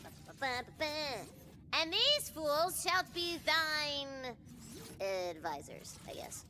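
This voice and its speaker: A woman voicing an excitable, bossy young girl who plays theatrical game master in a video game. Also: high-pitched, slow pace, slight background noise, exaggerated voice. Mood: bossy, playful. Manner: playful, dramatic, bossy.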